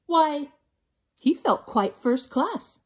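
A woman reading a rhyming storybook aloud to children in an expressive, theatrical, drawn-out way. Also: high-pitched.

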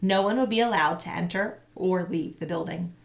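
Woman in her thirties, teacher reading aloud, expressive, a bit gravelly, close webcam. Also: lowish voice, normal speed. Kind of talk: read-aloud storytelling. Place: small home room. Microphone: webcam at close range.

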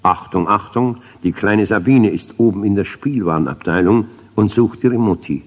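An older man voicing a formal department-store announcer in a children's story, projecting deliberately. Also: fairly low pitch, husky, big-room sound. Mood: official.

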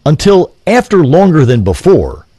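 Husky, very animated male storyteller. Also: a little high, moderate pace. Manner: grandiose, literary, dramatic. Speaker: narrator. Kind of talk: theatrical scripted story narration.

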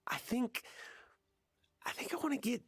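A boyish nervous young dude in a game, casual, halting, pitch jumps around, dry. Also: male voice, animated, slightly rough, dry recording, exaggerated voice. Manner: hesitant, casual. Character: nervous, boyish, easygoing young man confessing feelings. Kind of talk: video game cutscene acting.

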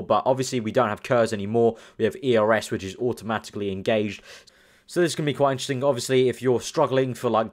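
A young man from the UK, chatting casually as a gaming channel host, rambling and thinking aloud with lots of fillers. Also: mid-range voice. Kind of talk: casual commentary over screen.

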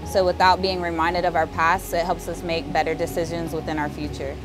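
A woman's voice: on the low side, flat delivery, fairly quick, over music. A young woman sailor from Florida speaking steadily and earnestly in an office. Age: young adult. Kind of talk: recorded testimonial. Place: office with a mural.

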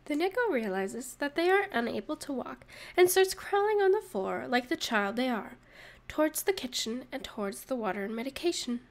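A woman's voice: high-pitched, expressive, moderate pace. A woman playing a sarcastic narrator in a comedic audio roleplay, teasing and mock-sympathetic as she mocks a listener. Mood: dry mockery. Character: sarcastic, mocking narrator gleefully needling a hungover catgirl. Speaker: voice actress.

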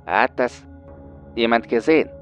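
A man's voice: medium pitch, animated, a little slow, soft background music, voice pushed up, close to an everyday voice. Man playing a huge, fearful astronaut. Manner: fearful, terse. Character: huge, taciturn male astronaut, now fearful. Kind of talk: dramatised horror audiobook dialogue.

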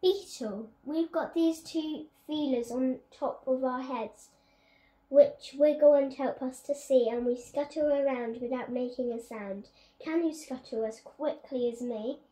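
Girl, high voice, slow careful reading, echoey bedroom, phone-recorded. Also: dark tone. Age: child. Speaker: young reader. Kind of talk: reading a picture book aloud. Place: bedroom, on a bed. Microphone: phone camera on a stand. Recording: echoey room.

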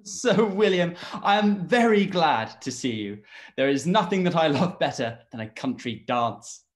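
A young man playing an affable, slightly hesitant gentleman in a period radio play. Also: on the high side, big pitch swings, unhurried. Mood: delighted. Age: young adult. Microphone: video call.

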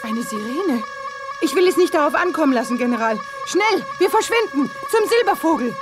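High-voiced, urgent young heroine. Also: female voice, animated, a little fast, music in the background. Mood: urgent, determined. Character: brave, anxious young heroine of space adventure. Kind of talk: 1980s science-fiction radio play acting.

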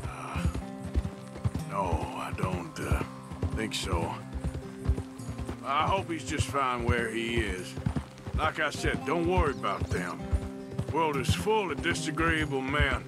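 An actor playing a gruff, wry American outlaw in a Western video game, with a drawl and a dryly sardonic manner. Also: male voice, medium pitch, lively intonation, slow pace, long pauses, over loud music. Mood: reassuring. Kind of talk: dramatic video game acting.

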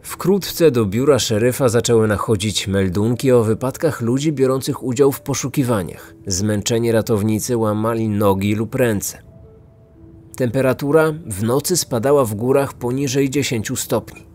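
A man narrating a scripted true-crime podcast in a serious, measured storytelling manner. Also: medium pitch, darkish tone, faint music behind.

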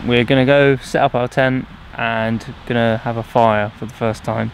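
A man's voice: medium pitch, steady tone, moderate pace, a little hiss or hum, low-quality recording. A young man chatting casually to a camera held at arm's length outdoors on a road trip. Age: young adult. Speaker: travel vlogger. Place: outdoors by roads, woods and lakes. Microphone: camera-mounted shotgun mic at arm's length.

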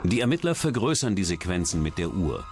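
Low-voiced male documentary narrator, lively intonation. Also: fairly quick. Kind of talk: scripted narration. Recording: music under the voice. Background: background music.

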